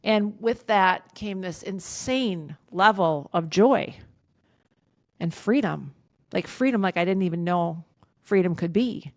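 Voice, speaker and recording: A woman in her fifties, a podcast guest, talking candidly in an outspoken, emphatic way with a loud voice, swearing freely. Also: fairly low pitch, expressive, slow, dry recording, clean studio sound. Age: 50s. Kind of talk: candid casual conversation.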